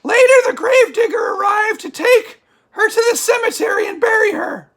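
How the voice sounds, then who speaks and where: A woman playing an excitable, easily sidetracked spooky storyteller for a comic puppet show, with exclamatory, drawn-out dramatic delivery. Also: extremely high pitch, raised voice, full character voice. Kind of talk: theatrical comic reading of a scary story.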